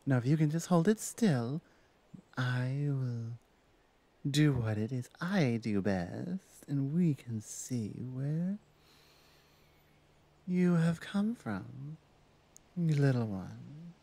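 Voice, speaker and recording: Dark-voiced, unhurried orc shaman played by a woman. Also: very deep voice, lively intonation, full character voice. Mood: focused, wondering. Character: confident orc shaman woman, the huntress's magic-wielding sister. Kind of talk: ASMR roleplay audio drama.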